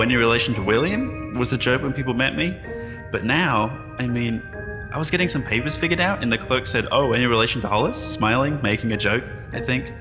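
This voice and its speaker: A man's voice: mid-range voice, close to an everyday voice. Actor as a wry man in a big hall. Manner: self-deprecating, reflective, conversational. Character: wry, modest man revived from centuries in cryostasis. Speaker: interviewee at a press appearance. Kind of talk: sci-fi audio drama acting. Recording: long hall reverb, music behind.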